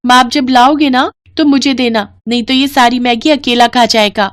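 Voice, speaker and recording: A woman voicing an eager, greedy little girl in a children's story, pleading and excitable. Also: a little high, normal speed, no echo, clean studio sound, voice pushed up, lightly acted. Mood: complaining. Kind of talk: Hindi children's story voice acting.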